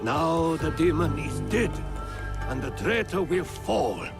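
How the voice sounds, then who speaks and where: Old warrior leader: defiant, gravelly, slow and expressive, somewhat raised pitch. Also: male voice. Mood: scornful, threatening. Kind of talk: video game cutscene acting. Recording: music under the voice.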